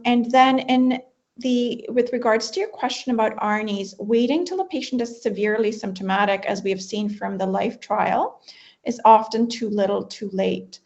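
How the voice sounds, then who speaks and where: A female cardiologist on a panel, speaking in a measured, methodical way. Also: on the high side, a little mellow. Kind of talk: panel discussion. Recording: muffled video call audio.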